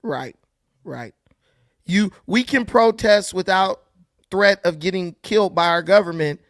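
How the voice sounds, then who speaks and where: A man's voice: high-pitched, no echo, studio quality. An American man hosting a casual call-in chat, talking emphatically and firing off rhetorical questions. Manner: animated, quick asides, emphatic rhetorical questions. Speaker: show host.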